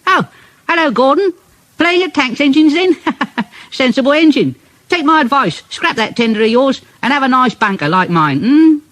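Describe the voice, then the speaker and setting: A man's voice: very high voice, lively intonation, moderate pace, fairly bright tone, no room sound, pitched above the performer's own voice. A man voicing a cheeky, teasing little tank engine in a children's storybook. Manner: teasing, chuckling.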